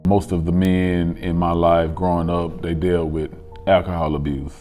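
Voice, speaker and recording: Deep, mellow man. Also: normal speed. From Cartersville, Georgia. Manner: relaxed, frank storytelling. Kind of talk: personal recovery testimonial. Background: soft music.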